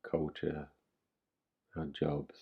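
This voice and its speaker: A man with a low, husky voice leads a guided relaxation. He speaks gently and soothingly, leaving long pauses between his instructions. There is a creaky fry in his delivery, and he never hurries. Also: completely flat delivery, lo-fi sound. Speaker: meditation guide. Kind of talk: guided relaxation meditation, yoga nidra.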